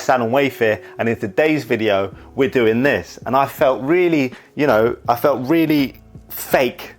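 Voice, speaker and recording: A young man talking candidly about his life, rambling and often saying "you know". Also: mid-range voice. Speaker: YouTuber and wedding videographer. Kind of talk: reflective casual monologue.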